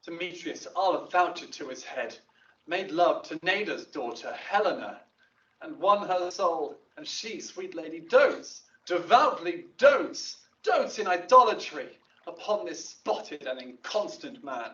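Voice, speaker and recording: An indignant young nobleman in love, declaiming Shakespearean verse for an audio drama. He speaks slowly and emphatically, with an animated melody. The sound is roomy and dull, as if played back from a distance. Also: on the high side. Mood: indignant, pleading.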